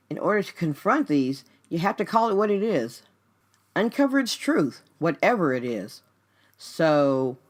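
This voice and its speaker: A woman hosting a radio show as a life coach, speaking earnestly and directly to the listener in a scripted but conversational motivational style. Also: deep voice. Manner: earnest, direct address to the listener with rhetorical questions.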